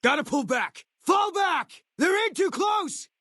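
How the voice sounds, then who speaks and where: A man playing a brooding military sniper companion in a video game, speaking in clipped, guarded, deadpan lines. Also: extremely high pitch, slow, dry recording, raised voice, studio quality, heavily acted. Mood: urgent combat alert.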